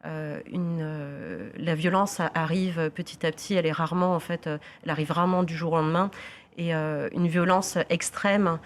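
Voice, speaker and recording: Woman social service head, TV talk show guest, measured explanations, frequent fillers, lowish voice.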